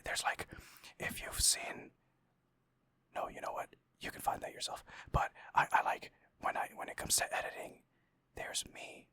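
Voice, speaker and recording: A young man whispering close to the mic in a rambling, casual ASMR chat over gameplay. He mocks himself and swears now and then. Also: bright, forceful tone. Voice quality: breathy whisper. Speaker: ASMR gaming creator.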